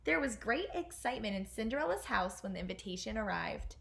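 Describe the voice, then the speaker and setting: A woman teacher reading a children's fairy tale aloud in an expressive storytelling style. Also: medium pitch, brisk, vocal fry, a little hiss or hum.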